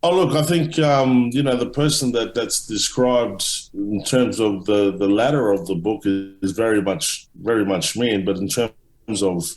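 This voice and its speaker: Deep-voiced man in an echoey room. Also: a little mellow, soft background music. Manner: halting, many restarts and fillers. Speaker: author and guest. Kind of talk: casual interview answers.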